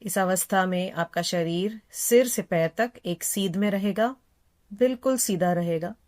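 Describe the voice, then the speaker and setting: A woman yoga instructor leading a guided meditation in a calm, unhurried, soothing manner, repeating phrases gently. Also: medium pitch.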